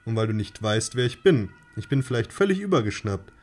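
A man voicing August, an odd, nervous young man who stalls and speaks flatly, in a radio play. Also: mid-range voice, fairly quick, lightly acted. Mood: deadpan. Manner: hesitant and stalling, flat deadpan. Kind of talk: amateur radio-play reading.

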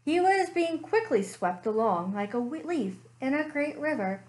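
A young woman, a librarian, reading a picture book aloud slowly and expressively at home into a webcam. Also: high voice. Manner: slow, expressive storytime reading. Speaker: children's librarian. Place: living room at home.

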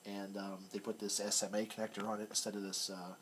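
A man's voice: on the low side, flat delivery, quick pace. Guy walking thru radio tech stuff casually, trails off a bit, lots of room noise. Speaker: radio hobbyist demonstrator. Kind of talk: casual hands-on demonstration. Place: cluttered indoor radio workshop. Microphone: handheld camera, off screen. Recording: noisy room, handheld camera recording.

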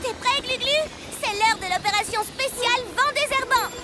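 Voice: very high voice, moderate pace. A performer voicing a brave, earnest young superhero girl who leads emphatically in a French children's cartoon. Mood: excited, determined. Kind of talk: French animated children's series dub. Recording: music behind the voice.

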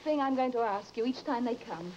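Casting: woman as anxious accusing wife, vintage broadcast melodrama, high, swooping voice. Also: lively intonation, a little fast, darkish tone, natural voice. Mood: anxious, probing. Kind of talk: old-time broadcast drama acting. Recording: muffled, noisy old broadcast recording.

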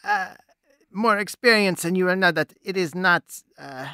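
A man voicing a suave gentleman who puts on foreign airs, teasing and condescending. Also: high voice, moderate pace, no room sound, studio quality, pitched above the performer's own voice. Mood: smooth flirtatious. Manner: smooth, teasing, condescending seducer. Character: suave Dutch gentleman with a fake French charm.

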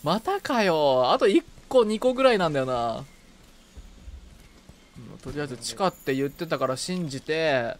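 A man's voice: fairly high pitch, lively intonation, normal speed. A man chatting casually while playing a co-op game, playfully repeating short phrases. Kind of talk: casual gaming chat.

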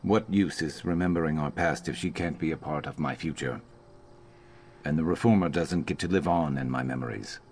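A stoic reptilian warrior from a video game, with an extremely deep voice. He is loyal, measured and earnest, over a faint layer of background noise. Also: a little mellow. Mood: earnest, reflective.

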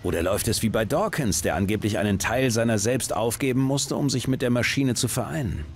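A man's voice: mid-range voice, a little fast, a little hiss or hum, natural voice. A man playing a tough action hero in a video game, with a dry, laconic and wry delivery. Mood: sceptical, resolute. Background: music.

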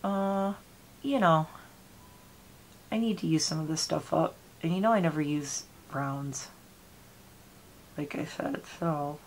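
Makeup vlogger, forties, sniffly, chatty and meandering, a bit of faint room hiss. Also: female voice, deep voice, takes it slowly, pauses often, rough recording. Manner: chatty, rambling, talks while applying makeup. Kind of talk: casual get-ready-with-me chat. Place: small home room with gaming chair.

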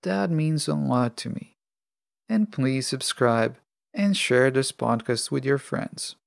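A man hosting a self-help podcast alone, speaking in measured, deliberate phrases. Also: mid-range voice, lively intonation, creaky, no room sound, clean studio sound. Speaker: podcast host.

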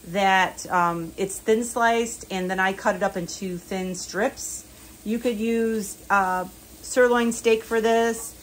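A woman's voice: mid-range voice, a bit bright, slight background noise. Chatty older woman vlogging cooking. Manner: chatty, explains each step plainly with fillers. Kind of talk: casual cooking vlog. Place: home kitchen.

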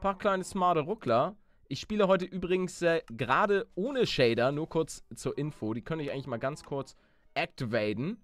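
A man hosting a Let's Play in lively casual banter, playful and excitable, often stammering and repeating words. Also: fairly high pitch, normal speed, no room sound.

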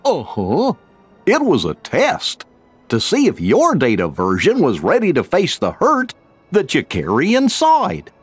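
A man playing a gentle, earnest cartoon dog companion in a video game, with a goofy husky drawl. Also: on the high side, huge pitch swings, unhurried, faint music behind, exaggerated voice. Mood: gentle concern.

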